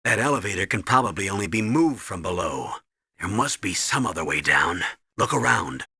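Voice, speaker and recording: Stern, hard-edged colonel. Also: male voice, sits low, lively intonation, moderate pace, sharp tone, no echo, clean studio sound, full character voice. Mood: instructive. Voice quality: husky. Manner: commanding, urgent, instructive. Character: stern, urgent older male colonel giving mission orders. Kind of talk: video game voice acting.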